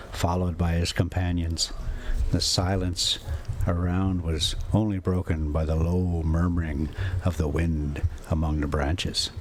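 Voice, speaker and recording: Deep-ish folksy guy doing story time, real slow but pitch goes up and down a lot, noisy background. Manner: folksy, rambling, chuckling self-commentary. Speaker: storyteller and host reading a classic novel. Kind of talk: read-aloud story with casual asides.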